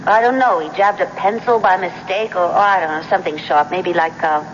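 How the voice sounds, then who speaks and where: An actress playing an earnest, observant young rookie police detective in a radio drama, questioning, hesitant and persistent. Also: female voice, fairly low pitch, fairly quick, close to an everyday voice. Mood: uneasy, hesitant. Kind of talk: 1970s radio drama acting. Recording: dull, noisy, reverberant 1970s radio recording.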